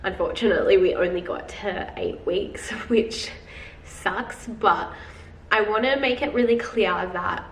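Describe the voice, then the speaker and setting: A woman's voice: medium pitch, a little slow. A young woman with a head cold talking casually to her viewers in a video diary, chatty and full of fillers, calling them guys. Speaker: vlogger. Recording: roomy, slightly distant, faint noise.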